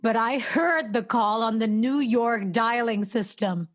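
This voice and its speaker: A woman playing an anxious, demanding married invalid in a 1940s-style radio play, insistent and halting as she frets. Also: on the high side, lightly acted. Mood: fretful, defensive. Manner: fretful, insistent, halting with repeated words.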